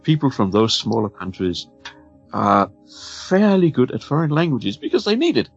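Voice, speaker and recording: A man's voice: medium pitch, sing-song, normal speed, slightly rough, lo-fi sound, faint music behind. A man from Denmark with a Danish accent, chatting carefully on a podcast, often repeating words as he searches for them. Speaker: podcast guest. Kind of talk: casual chat.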